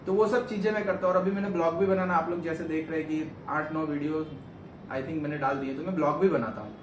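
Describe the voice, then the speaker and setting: A man's voice: on the high side, quick pace. A young man from Gujarat, India, answering questions about himself on his vlog in a rambling, earnest way. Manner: rambling, earnest, self-correcting. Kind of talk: casual interview chat. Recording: echoey room, far from mic, noisy.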